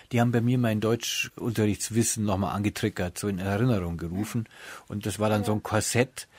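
A man conducting a cultural interview, putting structured questions in a measured, attentive manner. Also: on the low side, darkish tone, no room sound. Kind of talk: prepared cultural radio interview.

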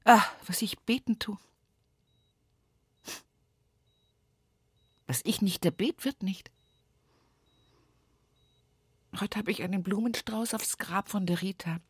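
An actress playing a grieving, devout old country mother in a radio play monologue, plain-spoken and often hushed. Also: mid-range voice, husky, dry recording, close to an everyday voice. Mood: devout, insistent. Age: older. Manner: slow, hushed, often whispering, folksy.

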